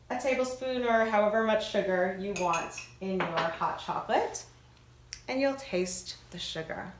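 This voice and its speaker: A woman leading worship with a guided, hands-on prayer, giving gentle, measured instructions with reflective pauses. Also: medium pitch. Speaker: worship leader. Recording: roomy echo, noise, kitchen clinking.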